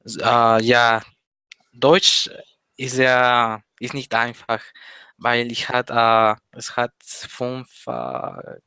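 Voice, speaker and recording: Teenage guy, slow, flat. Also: mid-range voice, clean tone, no room sound. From Mexico. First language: Spanish. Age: teens. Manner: hesitant, many pauses and fillers. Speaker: interview guest, language learner. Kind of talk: casual interview chat.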